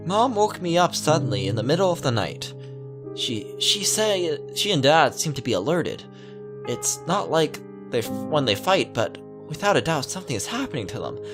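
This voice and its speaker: Innocent little girl diarist played by a man, pitched up, earnest, visual novel read with guitar music behind. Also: big pitch swings, normal speed. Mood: sleepy, uneasy. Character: innocent little girl reading out her diary.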